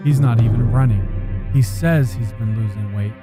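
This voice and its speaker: Low-voiced attack ad announcer played by a man over music. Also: moderate pace, lightly acted. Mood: deadpan accusing. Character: accusatory male negative campaign ad announcer. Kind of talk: parody political attack ad voice-over.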